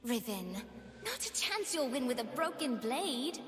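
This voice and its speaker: A woman's voice: high voice, big pitch swings, unhurried, a bit bright, exaggerated voice. A woman voicing a plucky young living doll in a video game, prim, earnest and theatrical. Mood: bright, spirited. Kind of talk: video game character voice lines. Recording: long reverb with music behind.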